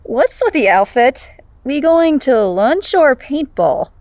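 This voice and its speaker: A woman's voice: sits high, big pitch swings, slow pace, darkish tone, no echo, exaggerated voice. An actress playing a perky, blunt teenage girlfriend in an audio drama. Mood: puzzled.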